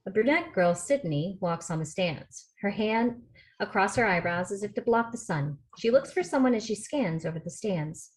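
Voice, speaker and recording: A woman's voice: medium pitch, animated, normal speed, rough recording. A woman narrator reading stage directions from a film script at a table read. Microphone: video call.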